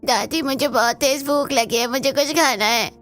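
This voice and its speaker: A man's voice: very high voice, moderate pace, a bit bright, faint music behind, pitched above the performer's own voice. A man voicing an eager, hungry little boy in a family cartoon, with a childlike, pleading manner.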